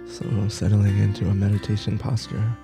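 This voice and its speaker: A man leading a guided meditation, soft-spoken, in short halting phrases with long pauses. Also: on the low side, completely flat delivery, gravelly, over music. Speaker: meditation teacher.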